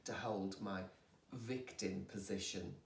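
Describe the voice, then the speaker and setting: A woman's voice: very deep voice, fairly even intonation, slow, slight background noise. A woman, a yoga teacher, talking candidly and expressively about her own healing and laughing at herself. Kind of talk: candid personal conversation. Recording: roomy sound from a distant mic.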